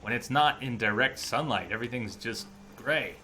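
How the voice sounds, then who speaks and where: A man's voice: mid-range voice, expressive, moderate pace, bright, forceful tone, a little hiss or hum. A man streaming a video game with casual commentary, thinking aloud as he plays. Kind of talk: casual live playthrough commentary. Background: game music.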